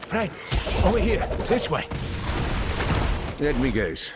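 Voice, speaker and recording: A man's voice: fairly high pitch, sing-song, slow pace, heavy background noise. An actor playing a self-serving desert rogue in a video game, with wheedling, theatrical, salesman-like patter. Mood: sly, coaxing. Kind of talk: video game character acting.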